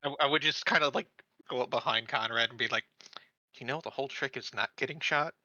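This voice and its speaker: A man's voice: medium pitch, a little fast, bright, forceful tone, dry recording. A man playing a tabletop roleplaying game, speaking casually in character over slightly dull audio.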